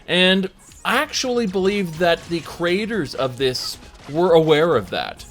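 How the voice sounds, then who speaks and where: A man with a fairly high voice reviews a video game over background music. His delivery is informal and conversational, with emphatic phrasing and dramatic pauses. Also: animated, fairly bright tone. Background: music under the voice.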